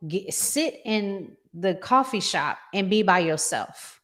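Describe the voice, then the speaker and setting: A woman's voice: mid-range voice, expressive, slow, a bit bright. A woman hosting a talk show, giving conversational relationship advice emphatically and repeating phrases to drive them home.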